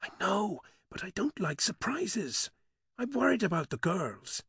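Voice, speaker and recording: A male narrator voicing an anxious widow who is about to remarry, speaking softly and hesitantly. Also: sits high, very animated, measured pace, gravelly, slightly rough, no room sound, studio quality, voice pushed up, heavily acted. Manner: soft, hesitant.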